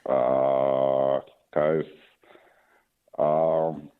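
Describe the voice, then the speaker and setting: Male caller, stuffed up, very deep and flat, over a tinny phone line. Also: extremely slow, clear, resonant tone.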